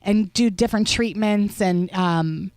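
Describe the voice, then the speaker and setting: A woman's voice: mid-range voice, a little slow, a little mellow, no echo, studio quality. A woman co-hosting a radio show, reading entertainment headlines and chatting about them casually. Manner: chatty, reads headlines then riffs. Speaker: radio co-host. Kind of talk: casual entertainment news chat.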